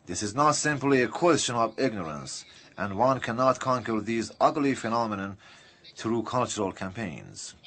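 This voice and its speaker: A man interpreting a political speech live, speaking in halting, broken phrases with uneven bursts. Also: mid-range voice, animated, a bit bright.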